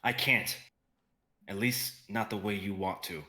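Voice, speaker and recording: A man plays an earnest male fantasy hero, determined and impassioned. The voice is bright and forceful, with halting, pleading delivery in a stage-reading style. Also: lowish voice, fairly even intonation. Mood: resolute.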